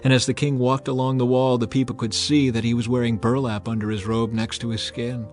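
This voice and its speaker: A man narrating a dramatized scripture reading in a measured, earnest way. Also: mid-range voice, level tone. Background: faint background music.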